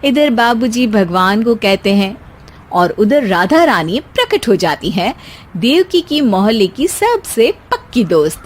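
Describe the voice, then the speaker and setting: A woman's voice: on the high side, very animated, a little slow. A woman narrator reading a scripted comic family story.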